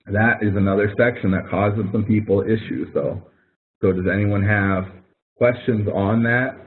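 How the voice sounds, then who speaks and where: A man's voice: fairly low pitch, steady tone, measured pace. A man instructor talking students through an assignment in a casual, explanatory webinar style, thinking aloud as he demonstrates. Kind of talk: webinar walkthrough. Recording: phone-like narrow audio from a webinar.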